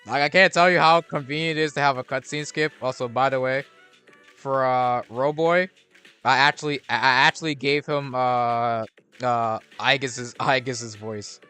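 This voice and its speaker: Bright, clear-voiced young man streaming games. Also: mid-range voice, fairly even intonation, normal speed, faint music behind. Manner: rambling, with frequent restarts and fillers. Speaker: game streamer. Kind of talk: casual gameplay commentary.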